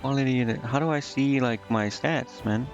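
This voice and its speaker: Man, game streamer, fry-heavy voice, casual think-aloud commentary, halting muttering, slightly lo-fi mic. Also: medium pitch. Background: game music.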